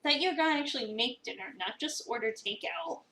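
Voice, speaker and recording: A woman's voice: sits high, animated, brisk, pushed and bright, a little hiss or hum, exaggerated voice. A woman playing a sassy, sarcastic young cafe employee in a dramatic reading of a visual novel. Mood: cheeky. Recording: roomy, echoey, distant mic.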